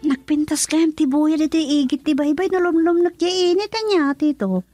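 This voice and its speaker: A woman's voice: high voice, normal speed, mellow, soft background music, close to an everyday voice. A woman acting a bubbly, affectionate young daughter in a radio drama, with a Filipino accent. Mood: curious, puzzled.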